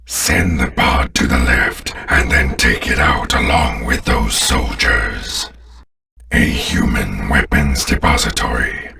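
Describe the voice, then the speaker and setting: A man playing a menacing, imperious alien warlord in a video game, with a deep rasp and a pompous, commanding, deliberate delivery. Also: pushed and bright, recorded from a distance, full character voice. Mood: gloating, contemptuous. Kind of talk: video game villain voice acting.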